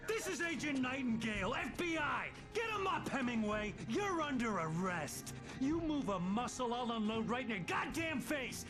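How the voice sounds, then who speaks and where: A man playing an aggressive, sarcastic FBI agent in a video game, brusque and hot-tempered, with a strained, forceful edge. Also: very high voice, sing-song, moderate pace. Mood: threatening. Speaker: federal agent. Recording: very noisy, vehicle sounds and music behind.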